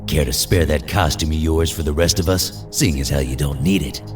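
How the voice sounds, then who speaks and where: A man playing a gruff, snarky, cynical mutant fighter in a superhero audio drama, sardonic and grumbling. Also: extremely low pitch, normal speed, distant mic, over music.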